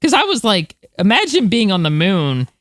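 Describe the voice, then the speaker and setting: A woman's voice: medium pitch, huge pitch swings, moderate pace, no echo. A woman co-hosts a podcast with a mid-range voice. She talks casually and informally, with slangy asides as she tells stories. The sound is dry, with very little room in it. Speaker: podcast co-host. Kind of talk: casual chat.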